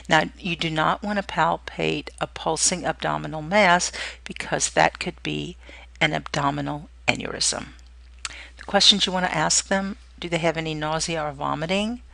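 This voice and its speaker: A woman's voice: sits low, creaky, sharp tone, no echo. A woman giving an instructional clinical lecture, explaining step by step and often checking in with "okay". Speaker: nursing or clinical skills instructor.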